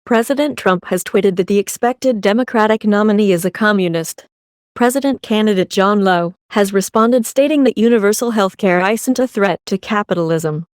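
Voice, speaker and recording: A woman's voice: medium pitch, normal speed, no echo, lightly acted. Woman acting as female breaking-news anchor, dry sound. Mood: formal, urgent. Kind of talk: scripted news reading.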